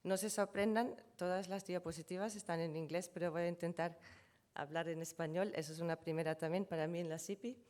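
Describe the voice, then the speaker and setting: A woman's voice: on the low side, fairly even intonation, brisk, darkish tone. A woman whose first language is German, giving a conference presentation and explaining figures step by step, often correcting herself as she goes. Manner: explanatory, self-correcting, walks through figures from slides. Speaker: conference speaker on trademarks.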